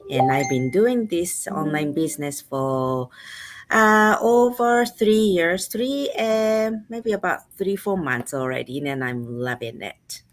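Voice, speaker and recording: A woman hosts a casual online chat. Her voice sits low and her talk moves slowly, with pauses and restarts. She sounds unhurried throughout. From Japan. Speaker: host.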